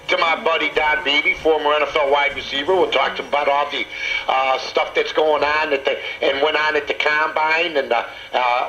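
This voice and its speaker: A man's voice: a little high, bright, forceful tone, highs cut off, a little hiss or hum. A man hosting sports talk in a casual commentary style, heard through an old AM radio in a room.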